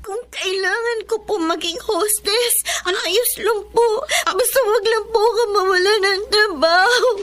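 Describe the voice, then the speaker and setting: A woman's voice: very high-pitched, a little slow, few pauses, a bit bright. A woman with a Filipino accent playing a meek, polite, desperate sixteen-year-old waitress in a radio drama. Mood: tearful desperation.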